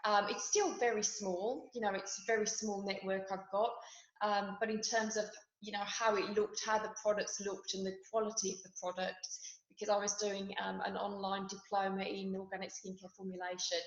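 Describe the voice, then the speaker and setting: A woman's voice: medium pitch, little pitch movement, moderate pace. A woman in her forties with a West Midlands accent, chatting casually as an interview guest, with many ums and restarts. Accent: West Midlands English. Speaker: guest, nurse and skincare founder. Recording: echoey room, dull lo-fi sound.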